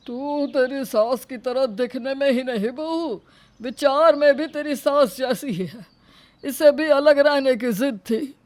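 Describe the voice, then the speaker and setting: Woman doing older sasur in a story, critical, slow, pretty high pitched. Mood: chiding. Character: critical older father-in-law.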